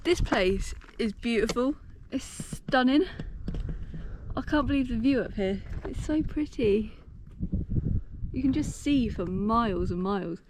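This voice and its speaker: A woman's voice: on the high side, animated, measured pace, background noise. A playful, giggly English woman chatting on a countryside hike, recorded outdoors on a handheld camera. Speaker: vlogger. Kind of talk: casual vlog chat while hiking. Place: outdoors on a hill walk.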